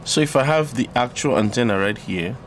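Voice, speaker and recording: A man presenting an unscripted electronics tutorial, explaining step by step in a halting way. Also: mid-range voice, animated. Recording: clearly audible background noise.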